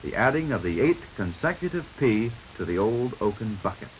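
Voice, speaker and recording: A man's voice: fairly low pitch, very animated, moderate pace, a little hiss or hum, poor audio. An American man reading scripted narration for a 1950s newsreel. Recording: 1950s newsreel soundtrack with music behind. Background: background music.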